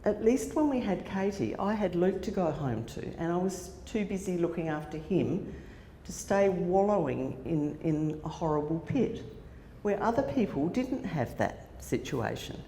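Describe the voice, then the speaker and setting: Woman, 60s, Australian accent, conference speaker, low pitch, dark voice, roomy hall echo, faint noise. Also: very animated, unhurried, few pauses. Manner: warm storytelling with fillers and restarts. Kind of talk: personal story told to an audience. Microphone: handheld microphone.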